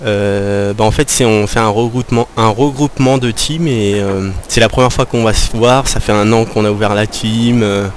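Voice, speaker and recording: A man's voice: on the low side, fairly even intonation, moderate pace, few pauses. A young man making a prank phone call in a casual, rambling, hesitant way. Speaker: prank caller. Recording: noisy amateur recording, background hum.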